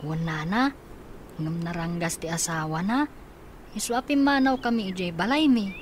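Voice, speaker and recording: A woman's voice: lowish voice, normal speed, slight background noise, natural voice. Meek, troubled orphan, played by a woman. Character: meek, troubled orphaned youngster fleeing cruelty. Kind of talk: Ilocano radio drama acting.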